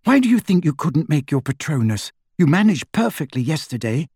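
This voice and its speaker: A man voicing a clever, anxious teenage girl witch who talks briskly and impatiently. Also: on the high side, sing-song, darkish tone, husky, no echo, studio quality, voice pushed up.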